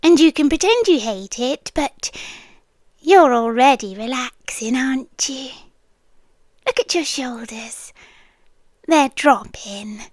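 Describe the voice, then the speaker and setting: A British woman playing a possessive, doting and controlling yandere landlady in an intimate ASMR roleplay, breathy and coaxing, often dropping to a whisper. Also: high-pitched, lively intonation, slow pace, pauses often, no echo. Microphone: close ASMR microphone, dry.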